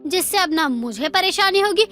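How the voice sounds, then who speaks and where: Woman acting a high, sharp, insolent young daughter-in-law. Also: animated, moderate pace, full character voice. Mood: smug. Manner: defiant, argumentative. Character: lazy, insolent young daughter-in-law who talks back. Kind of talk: Hindi moral story cartoon voice acting. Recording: music under the voice.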